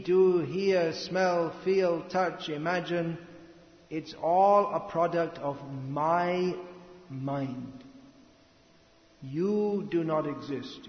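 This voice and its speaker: Man lecturing slowly in a big reverberant hall, muffled lo-fi sound, halting delivery. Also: a little high. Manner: slow, halting, with pauses and restarts. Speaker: speaker giving a spiritual lecture. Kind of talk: slow philosophical lecture. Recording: echoey hall, lo-fi with faint noise.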